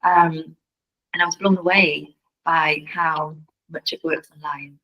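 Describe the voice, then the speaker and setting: A woman's voice: deep voice, steady tone, slow pace, bright, forceful tone, rough recording. A woman guest on a podcast, talking about her healing practice in an earnest way and repeating words as she searches for them. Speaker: podcast guest, family constellations facilitator. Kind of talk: casual interview chat.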